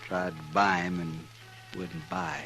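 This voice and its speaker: Low-voiced, menacing western hired gun. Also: male voice, flat delivery, takes it slowly, bright, forceful tone, full character voice. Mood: cool menace. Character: menacing drifting hired killer from cattle country. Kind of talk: 1950s radio western acting. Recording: vintage 1950s radio recording, faint music.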